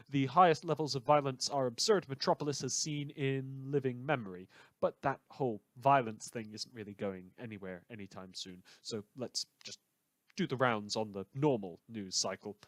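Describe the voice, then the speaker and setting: A man acting as a sardonic radio host delivers satirical news in a fan radio drama. His delivery swings widely in pitch, with theatrical emphasis and rambling, hesitant asides, all recorded close and dry. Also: no room sound, lightly acted. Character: sardonic, irreverent male radio news host, gleefully approving of villainy.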